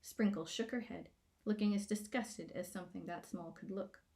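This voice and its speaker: A woman narrating a scripted children's fantasy story in a playful, expressive way, as an author reading aloud. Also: mid-range voice, moderate pace, vocal fry. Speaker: author reading own book.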